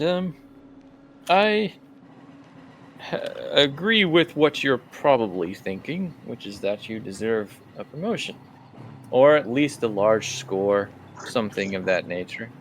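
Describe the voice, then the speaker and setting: A man playing a courteous but menacing crime boss uncle in a tabletop game, speaking in a measured, formal, halting way. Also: mid-range voice, fairly bright tone, a little hiss or hum. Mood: formal, persuasive.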